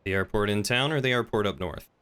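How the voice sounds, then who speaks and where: A man's voice: lowish voice, expressive, quick pace, a bit bright, slight background noise. A man chatting casually as he streams a game, often muttering half to himself.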